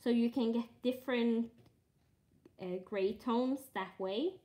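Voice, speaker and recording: A woman with a Norwegian accent, a native Norwegian speaker, chatting casually while stitching live and thinking aloud with frequent pauses and fillers. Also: on the high side, dark tone. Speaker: cross-stitch streamer.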